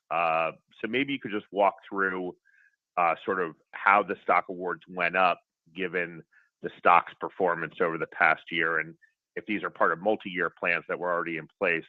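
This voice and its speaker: A man's voice: deep voice, no echo. A man speaking as a financial analyst on an earnings call, asking questions in an informal, direct, conversational way. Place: conference call. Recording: dry, tinny telephone line.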